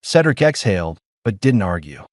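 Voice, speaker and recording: A man narrating a science fiction audiobook in an expressive storytelling manner. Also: fairly low pitch, normal speed, no room sound, clean studio sound.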